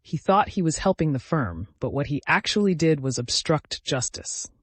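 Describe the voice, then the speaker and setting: A man voicing a vengeful, calculating woman in an audiobook, deliberate and accusatory, with bitterly controlled grief. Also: fairly high pitch, very animated, dry recording. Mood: cold menace. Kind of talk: dramatic audiobook character reading.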